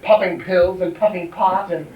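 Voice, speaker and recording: A man's voice: sits high, fairly even intonation, normal speed, crowd noise, lightly acted. A man playing a middle-aged drag diva, wounded and grandiose, declaiming theatrically in stage musical comedy. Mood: wounded, melodramatic. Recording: distant echoey dull stage recording, noisy.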